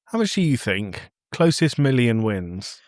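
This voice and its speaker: A man's voice: mid-range voice, sing-song, measured pace, darkish tone, no room sound, studio quality. A man co-hosting a podcast, chatting casually and prompting the others with questions. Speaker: podcast co-host.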